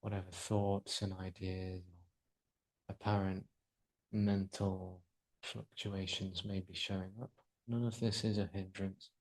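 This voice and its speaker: Male yoga nidra guide, lowish pitch, very flat intonation, soothing and unhurried delivery. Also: rough recording. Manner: soothing, unhurried, pausing between short phrases. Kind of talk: guided relaxation meditation.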